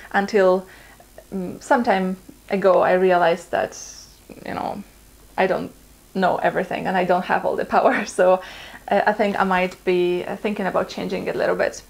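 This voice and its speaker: A woman's voice: medium pitch, creaky. A Polish woman in her twenties with a Polish accent, answering viewer questions in a casual vlog from her living room, chatty and laughing often, with plenty of ums and uhs. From Poland. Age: 20s. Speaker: vlogger.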